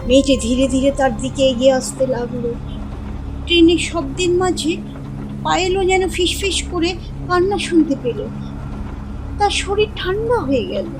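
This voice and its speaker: A woman narrating a scripted horror story in a dramatic, measured storytelling manner. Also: sits high, noisy background.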